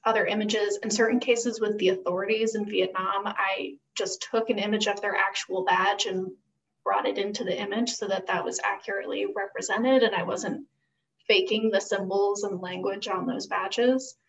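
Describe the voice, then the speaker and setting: Creaky-voiced young woman, slightly distant mic. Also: medium pitch, fairly even intonation, moderate pace, dull audio. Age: young adult. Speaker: guest, costume designer. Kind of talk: conversational explanation.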